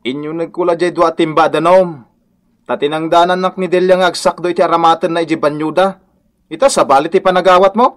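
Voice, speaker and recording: A man's voice: on the high side, fairly even intonation, fast talker, natural voice. An actor playing a falsely accused village man, defensive and insistent, in a Filipino radio drama. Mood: aggrieved. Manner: protesting, insistent.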